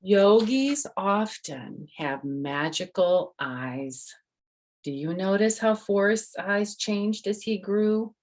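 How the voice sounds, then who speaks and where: Older lady reading a picture book to kids, slightly low voice, chats to them as she goes. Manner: addresses young listeners with questions and personal asides. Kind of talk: read-aloud storytime with chatty asides.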